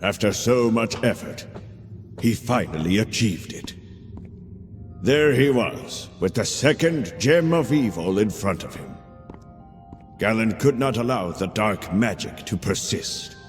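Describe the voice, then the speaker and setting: Animated older storyteller. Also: male voice, lowish voice, a little slow. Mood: dramatic storytelling. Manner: expressive, gentle, sometimes hesitant storytelling. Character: kindly older male storyteller telling a child a tale. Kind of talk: video game storybook narration. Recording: long reverb, faint music behind.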